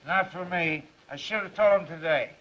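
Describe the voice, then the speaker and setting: An actor playing a brash, wisecracking newspaper reporter in a 1930s film. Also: male voice, fairly high pitch, normal speed, natural voice. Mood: defiant, indignant. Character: brash, cocky, hard-drinking male newspaper reporter. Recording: muffled, hissy 1930s film soundtrack.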